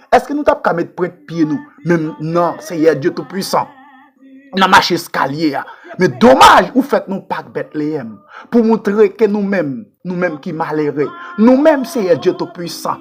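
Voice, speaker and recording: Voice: mid-range voice, husky. Haitian prayer leader, fervent and swooping. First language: Haitian Creole. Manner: fervent, pleading, repetitive. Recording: music playing under the voice. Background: music.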